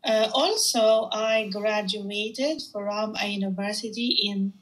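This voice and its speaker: Filipino-accented woman, slow encouraging tutor. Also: mid-range voice, rough recording. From Philippines. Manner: encouraging, many soft backchannels. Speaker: online English teacher. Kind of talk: friendly tutoring conversation.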